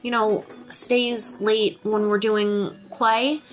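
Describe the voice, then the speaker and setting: A woman playing a cautious, hesitant junior high girl in a tabletop game. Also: flat delivery, slow pace, soft background music, close to an everyday voice, rough recording. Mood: wary.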